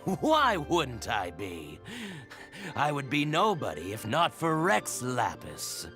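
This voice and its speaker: Humble, slow-spoken game merchant. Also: male voice, mid-range voice, huge pitch swings, music in the background. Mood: earnest, reverent. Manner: earnest, deferential. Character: humble, devout flower merchant, earnest and polite. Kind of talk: video game voice acting.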